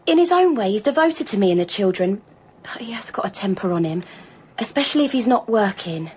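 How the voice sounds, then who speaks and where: An actress playing an anxious, deferential working-class Victorian wife in a radio drama, apologetic and hesitant. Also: female voice, medium pitch, animated, brisk, darkish tone, a little hiss or hum, lightly acted. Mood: timid, pleading.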